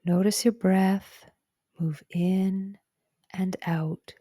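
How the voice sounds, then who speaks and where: A woman narrating a guided meditation in a gentle, calm and soothing way, with soft breathy phrase endings. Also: medium pitch, very slow, no echo, studio quality. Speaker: psychologist guiding a meditation.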